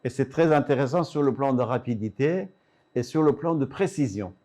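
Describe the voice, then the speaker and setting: An older man, originally from Iran, talks in a medium-pitched voice as a physician presenting his practice. He explains in a teacherly way, often setting out points one by one, as in an interview. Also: normal speed, dark tone. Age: 60s or older. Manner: didactic, asks and answers his own questions. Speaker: ophthalmologist.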